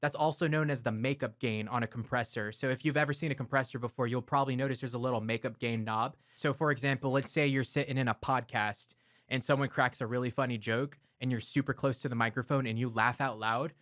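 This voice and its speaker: A man's voice: mid-range voice, fast talker, no echo. Man explaining audio gear, dry close mic. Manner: relaxed, step-by-step explaining with filler words. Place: home studio. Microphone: close broadcast dynamic mic.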